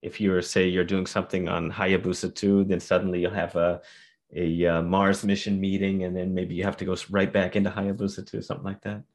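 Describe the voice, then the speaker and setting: A man with a fairly low voice hosts an interview. He asks long questions, restarting and rephrasing as he goes. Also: little pitch movement, brisk. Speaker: podcast host.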